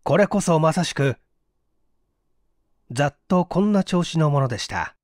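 A man narrating a mystery story as an audiobook, in a measured, literary and dramatic storytelling manner. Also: on the high side, expressive, dry recording, studio quality. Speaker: audiobook reader, stage actor.

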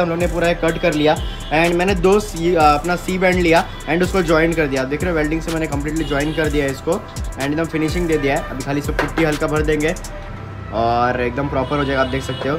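A young man talks fast through his workshop project, explaining each step to the camera. His voice sits a little high. Music plays clearly beneath him. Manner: mixes Hindi with English words, explains step by step. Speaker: vlogger building a custom motorbike. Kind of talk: casual walkthrough explaining work to camera. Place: metal-walled garage workshop, indoors. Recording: music playing under the voice. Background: background music.

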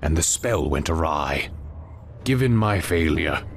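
Deep, brooding demon lord. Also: male voice, lively intonation, a little slow, noisy background, heavily acted. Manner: brooding, portentous, commanding. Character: brooding, commanding exiled demon lord, grandiose. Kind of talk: dramatic fantasy game cutscene acting.

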